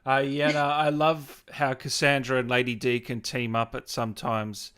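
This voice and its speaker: A man's voice: mid-range voice, flat delivery, unhurried, fairly bright tone, clean tone. A man hosting a casual online interview in a friendly, encouraging, conversational way. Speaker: interviewer and show host. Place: remote video call.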